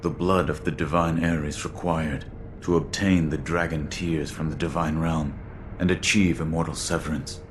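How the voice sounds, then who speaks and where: A man playing a terse, loyal shinobi in a video game, solemn and restrained, with a gravelly voice. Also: a bass voice, steady tone, normal speed, noisy background. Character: stoic loyal adult male shinobi serving a young lord. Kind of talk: video game cutscene acting.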